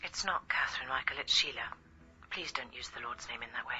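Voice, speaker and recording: A woman's voice: extremely low pitch, fairly quick, sharp tone, full character voice. A woman playing a prim, pious, disapproving older in-law in a radio comedy, heard through a telephone filter. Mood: prim disapproval. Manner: stern, primly scolding. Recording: muffled, band-limited telephone sound.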